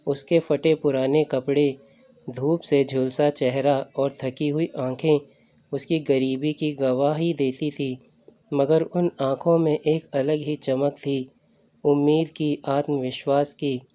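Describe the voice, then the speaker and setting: A man's voice: a little high, level tone, slow, warm tone. A man reading a scripted story as a narrator. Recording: dull lo-fi recording.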